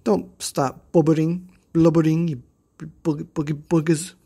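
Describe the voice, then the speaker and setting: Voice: medium pitch, flat delivery, unhurried, creaky, mellow, slightly rough, voice pushed up. A storyteller voicing a bold, tough little girl hero who bosses and scolds the bullies. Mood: bossy. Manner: bossy, scolding.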